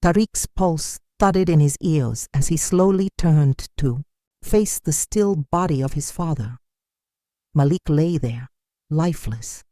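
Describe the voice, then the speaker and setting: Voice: medium pitch, animated, slow pace, dark tone, no echo, clean studio sound. A narrator delivering a dramatic scripted folktale in a solemn, suspenseful manner.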